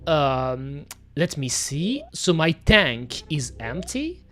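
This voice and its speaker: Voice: mid-range voice, very animated, slow pace, pushed and bright. Belgian accent streamer, french native, chatty with chat, voice swings up and down a lot. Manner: chatty, talks to chat, lots of "you know" and "pretty much". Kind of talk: casual gameplay commentary.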